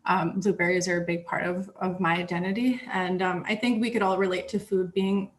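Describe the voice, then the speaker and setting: Soft-spoken woman from Northern Ontario. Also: on the low side, flat delivery, a little fast. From Northern Ontario, Canada. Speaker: presenter, program coordinator. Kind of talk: online presentation. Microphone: video call.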